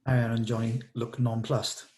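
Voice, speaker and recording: A man's voice: medium pitch, monotone, moderate pace, mellow, slight background noise. Male narrator, mid-range voice, scripted stage directions, table read.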